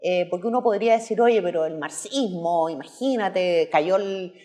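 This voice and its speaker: A woman in her fifties from Chile with a Chilean accent, explaining her views as a guest in a measured way. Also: on the low side, warm tone. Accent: Chilean Spanish. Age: 50s. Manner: measured, explanatory, tagging points with "¿verdad?". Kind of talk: political discussion.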